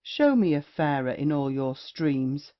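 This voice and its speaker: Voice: medium pitch, sing-song, measured pace, dull audio. An actor playing a gloating, roguish gallant in an old stage comedy. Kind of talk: Restoration comedy audio play acting.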